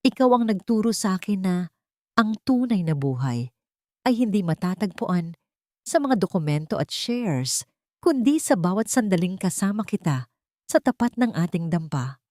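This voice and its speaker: A woman's voice: medium pitch, big pitch swings, moderate pace, mellow, dry recording, clean studio sound, natural voice. Woman voicing an earnest, pleading young Filipino man.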